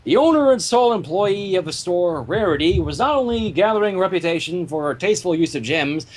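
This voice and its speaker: A man's voice: sits high, lively intonation, a little fast, a little hiss or hum, rough recording. A man narrating a fan fiction story aloud, with casual asides, in a home recording.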